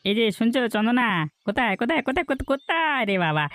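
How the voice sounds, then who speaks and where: Man voicing a fast-talking, scheming village schemer. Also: very high voice, no room sound, faint music behind. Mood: agitated, excited. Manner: excitable, repeats words rapidly. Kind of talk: cartoon folktale dialogue.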